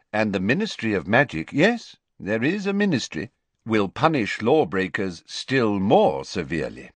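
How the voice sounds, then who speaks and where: A man voicing a calm, stern elderly wizard schoolmaster in a storybook, measured, courteous and authoritative. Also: lowish voice, no room sound, heavily acted. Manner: measured, deliberate, courteous but firmly authoritative.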